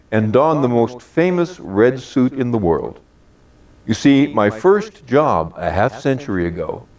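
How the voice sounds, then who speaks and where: An older man in his late sixties or beyond speaks as a practiced storyteller. His pitch rises and falls a great deal, and his phrasing is measured and deliberate. The microphone sounds some way off from him. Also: distant mic. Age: late 60s or older. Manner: measured, deliberate, expressive delivery with drawn-out phrases. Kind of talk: rehearsed personal holiday storytelling to an audience.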